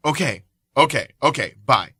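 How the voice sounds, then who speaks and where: A young man playing an earnest, suddenly lovestruck young man in a radio play, talking in halting, breathless bursts. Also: mid-range voice, animated, pushed and bright, slightly rough, no echo, studio quality. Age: young adult. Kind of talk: amateur radio play acting.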